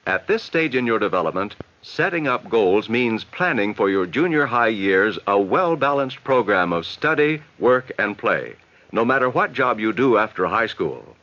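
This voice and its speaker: An American man reading scripted, instructive narration in a measured, advising way for a 1960s educational film. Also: fairly low pitch, sing-song, pushed and bright. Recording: dull vintage 1960s film soundtrack.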